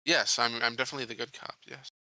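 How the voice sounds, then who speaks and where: A man's voice: mid-range voice, steady tone, quick pace, pushed and bright, dry recording, rough recording. A man taking part in a tabletop game, talking casually about playing his character.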